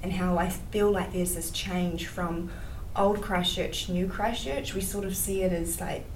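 A woman's voice: on the low side, fairly even intonation, a little slow. A young woman from New Zealand answering panel questions earnestly, with fillers and restarts. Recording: roomy hall, mic at a distance, faint noise.

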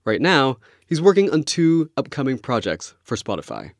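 Lively male podcast host. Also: medium pitch, moderate pace, no room sound, clean studio sound. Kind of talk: scripted narration with interview chat.

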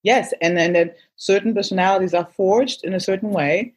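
A woman's voice: medium pitch, a little fast, husky. A woman and native Portuguese speaker, a guest coach chatting casually and animatedly with some self-deprecating humour. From Angola. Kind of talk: casual conversation.

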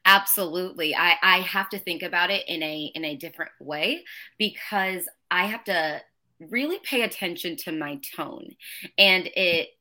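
A woman talking in a casual conversation, passionate and emphatic about everything. Her voice is bright and forceful yet sits fairly low. She speaks as an educator sharing her own experience. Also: moderate pace.

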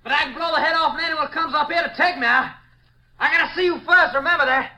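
Piercing, very high young outlaw. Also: male voice, fast talker, pushed and bright, exaggerated voice, low-quality recording. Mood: defiant, threatening. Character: cornered, defiant young wounded outlaw son. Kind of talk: 1950s radio western acting.

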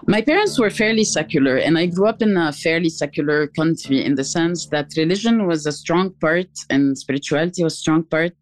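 A woman in her forties from Tunisia, a musician, answering interview questions thoughtfully with many pauses and restarts. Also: low voice, faint music behind. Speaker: musician guest.

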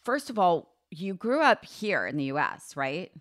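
A woman hosting an interview podcast, effusive and eager, quick to react and chat along. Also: on the low side, very animated, a bit bright, no echo, clean studio sound, soft background music. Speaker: podcast host.

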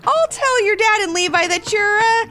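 A flustered, caring mom, played by a woman reading a story. Her voice is high and hugely animated, exclaiming her way through a children's tale. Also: measured pace, exaggerated voice. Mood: shocked.

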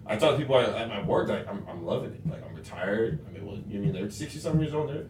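Fast-talking low-voiced young man, distant echo. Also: lively intonation, a little mellow, slight background noise. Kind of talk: casual chat. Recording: echoey room, mic far off.

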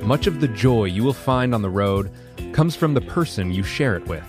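Animated male trailer narrator over music. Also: fairly low pitch, moderate pace. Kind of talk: scripted dramatic trailer narration.

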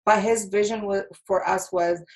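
A woman's voice: mid-range voice, steady tone, moderate pace, a little mellow. A woman in her thirties from Egypt, a podcast guest talking chattily with lots of laughs and fillers. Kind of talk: casual interview chat.

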